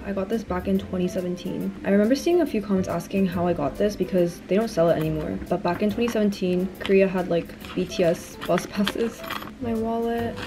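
A woman's voice: mid-range voice, a little fast. A woman in her twenties with a Canadian English accent, chatting casually and upbeat to a handheld camera while vlogging. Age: 20s. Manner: chatty, upbeat, filler-heavy. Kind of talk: casual vlog talk to camera. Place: indoors and outdoors, hotel room and rooftop. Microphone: handheld camera close to face. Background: background music.

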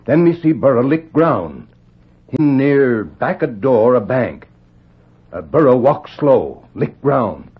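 A husky, stoic scout from an old radio western. He talks in clipped broken English with short grunts, loyal and plain, on a dull vintage recording. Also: medium pitch, sing-song, takes it slowly, heavily acted. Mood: matter-of-fact.

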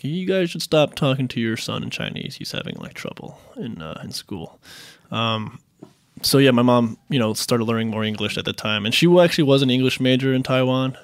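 A man in his thirties from Queens, answering interview questions in a relaxed, halting way with many fillers. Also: on the low side, gravelly, no echo. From Queens, New York. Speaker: restaurant managing partner, guest.

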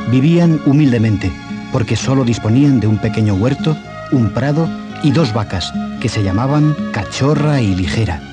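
Male storybook narrator, Castilian accent, average register, eighties cassette sound with clear musical backing. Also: mid-range voice. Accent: Castilian Spanish. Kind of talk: scripted children's story narration. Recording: 1980s cassette, music behind.

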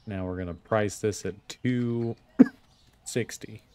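Laid-back low-voiced man playing a game. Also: steady tone, slow, a little mellow, slight background noise. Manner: laid-back, muttering to himself. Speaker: gameplay video host. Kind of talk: casual let's play commentary.

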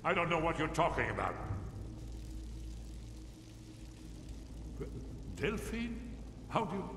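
An actor playing a paranoid old scholar in a video game, agitated and stammering with weary suspicion. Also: male voice, a little high, big pitch swings, brisk, pushed and bright, reverberant, long reverb tail, a little hiss or hum. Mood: alarmed. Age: older.

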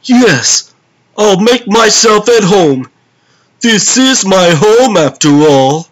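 A man's voice: sits high, sing-song, takes it slowly, exaggerated voice, rough recording. A man voicing a gleefully zany clownish super-villain in a comic dub, theatrical, exclamatory and sing-song. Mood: startled, curious.

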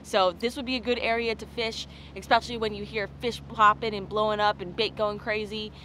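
A woman's voice: medium pitch, little pitch movement, a little fast, sharp tone, a little hiss or hum, rough recording. A young woman vlogging from a small boat outdoors, chatting to viewers as she explains. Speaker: fishing vlogger. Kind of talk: casual fishing vlog.

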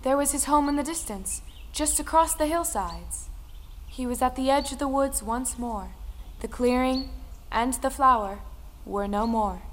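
A woman narrating a folktale audio play in a dramatic, measured storytelling manner. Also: high-pitched, slight background noise. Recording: music under the voice.

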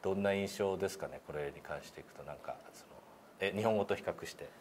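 A man's voice: low voice, fast. A middle-aged man giving casual, polite pronunciation instruction in a meeting room, speaking hesitantly and demonstrating sounds. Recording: clear background room noise.

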